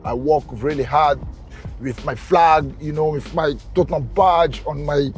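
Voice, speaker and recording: Young man, relaxed and laughing, car interior, faint music. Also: on the high side, measured pace. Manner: relaxed, laughs often, simple phrasing. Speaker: footballer guest. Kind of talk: casual chat. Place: inside a moving car.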